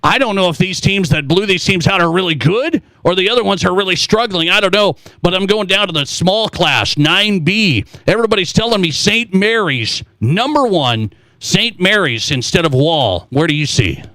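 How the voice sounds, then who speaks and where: A man's voice: sits high, lively intonation, normal speed, pushed and bright, no echo, poor audio. An American man hosting a sports talk radio show, asking questions and setting up topics in conversation.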